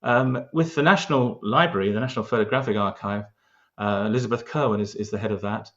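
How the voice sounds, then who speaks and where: A man's voice: on the low side, quick pace, a bit bright, lo-fi sound. A man with a London accent, talking earnestly about local history in an interview, with frequent restarts and hesitations. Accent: London English. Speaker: local historian, award winner.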